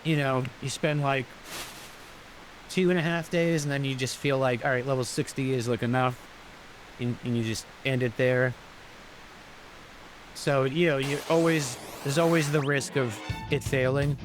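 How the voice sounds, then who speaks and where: A man's voice: mid-range voice, fairly even intonation, moderate pace, pauses often, slight background noise. A man giving casual, rambling, explanatory commentary as he plays through a video game. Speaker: gameplay commentator. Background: game music.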